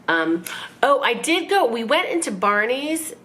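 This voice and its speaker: A woman in her forties, raspy from a cold, chatting and rambling in a casual haul vlog at home. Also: fairly high pitch, big pitch swings, a little slow, a bit bright. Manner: chatty, rambling, self-mocking. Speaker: fashion vlogger. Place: living room at home.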